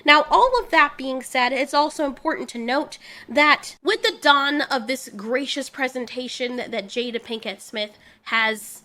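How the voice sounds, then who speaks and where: A woman's voice: sits high, measured pace, bright, forceful tone. A woman hosting a commentary video, giving a firm, lecturing opinion monologue. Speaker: commentary channel host.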